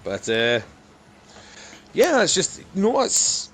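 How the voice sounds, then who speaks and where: A man's voice: sits high, wildly swooping pitch, slow, a bit bright, a little hiss or hum. A man in a casual, jokey, sweary chat with friends as a podcast co-host.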